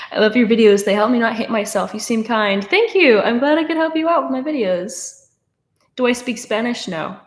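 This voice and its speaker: Young woman, 19, relaxed live-stream Q&A host, chatty with filler words, roomy webcam sound. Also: a little high, moderate pace. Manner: casual, chatty, many fillers and restarts. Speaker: streamer answering chat questions. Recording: roomy echo.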